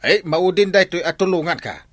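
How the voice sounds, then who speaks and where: A man's voice: sits high, lively intonation, brisk, a bit bright, no room sound. A man with an Ilocano Filipino accent playing an exasperated village captain in a comedy drama. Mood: agitated.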